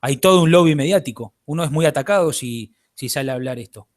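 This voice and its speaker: A man's voice: on the high side, fast talker. A man with an Argentine accent, a guest author in an interview, explaining at length and checking in with his listener. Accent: Argentine Spanish. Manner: explanatory, lecturing, checks in with "¿bien?".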